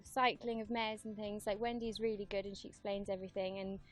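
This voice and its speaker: A woman's voice: mid-range voice, flat delivery, brisk. A young woman, a trainee at a horse stud, talking enthusiastically and a bit ramblingly. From Wales. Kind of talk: casual interview answers. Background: background music.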